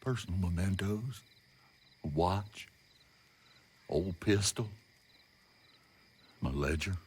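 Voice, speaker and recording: An actor playing a bitter, destitute old Southern gentleman in a video game, rambling in self-pity with an American Southern accent. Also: sits low, expressive, breathy edge, heavily acted. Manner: slow, maudlin, rambling lament. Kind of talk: video game cutscene acting.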